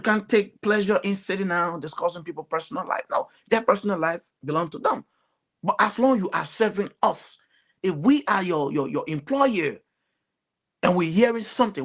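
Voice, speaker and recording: A man's voice: high-pitched, a bit bright. A man from Liberia with a Liberian accent, giving earnest, emphatic political commentary as a talk show panelist, often repeating phrases.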